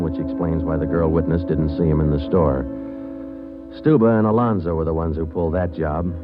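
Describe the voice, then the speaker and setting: Brief: terse police sergeant, voiced by a man, low and flat, old tinny radio broadcast with music under it. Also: natural voice. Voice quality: terse, matter-of-fact delivery. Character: flat, clipped police sergeant narrating his case. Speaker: detective narrator. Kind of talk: 1940s radio drama acting.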